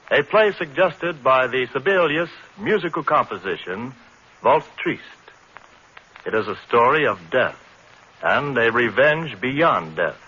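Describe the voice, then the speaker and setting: A man's voice: medium pitch, pushed and bright, slightly rough. A man working as a radio announcer, reading a script with formal, dramatic delivery in 1940s style. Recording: muffled old radio recording.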